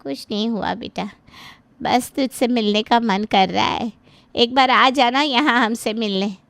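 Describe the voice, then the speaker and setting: A woman's voice: on the high side, a little slow, creaky, fairly bright tone, dry recording. A woman voicing a doting middle-aged mother who fondly coaxes her daughter over the phone. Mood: wistful. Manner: affectionate, coaxing.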